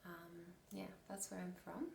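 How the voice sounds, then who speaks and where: Soft-spoken Australian woman in her twenties. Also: lowish voice, little pitch movement, a little slow, gravelly, roomy sound, distant mic, a little hiss or hum. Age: 20s. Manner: hushed, half-whispered, hesitant. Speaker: ASMR content creator. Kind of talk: soft-spoken ASMR chat.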